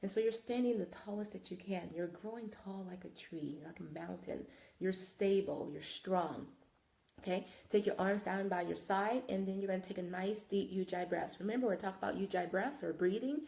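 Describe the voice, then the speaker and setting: A woman's voice: mid-range voice, moderate pace, vocal fry, darkish tone, roomy sound. A woman yoga instructor guiding a live class through breathing and poses in a conversational, encouraging way, sniffling now and then after nose surgery. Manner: encouraging, conversational with frequent okays.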